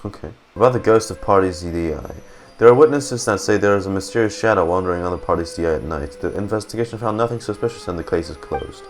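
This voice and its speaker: A man streaming a game, reading text aloud and giving low-key reactions. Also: fast, hardly any pauses, soft background music. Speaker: gaming streamer.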